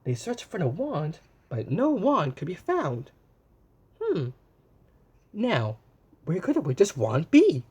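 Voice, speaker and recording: A man reading a children's picture book aloud in an animated way, pausing to point things out and exclaim. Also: high-pitched, breathy edge. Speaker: storyteller.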